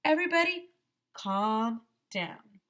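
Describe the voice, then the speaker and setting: A woman's voice: on the high side, animated, slow pace, a bit bright, pitched above the performer's own voice. A woman voicing an impatient, emphatic talking bear from a children's storybook. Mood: commanding. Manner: exasperated, emphatic. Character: costumed talking bear lecturing on campfire safety, impatient. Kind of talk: children's storybook read-aloud.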